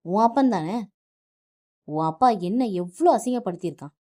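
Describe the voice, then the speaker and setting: A woman voicing an enraged young husband who yells harshly at his wife. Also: medium pitch, expressive, moderate pace, close to an everyday voice. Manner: shouting, harsh.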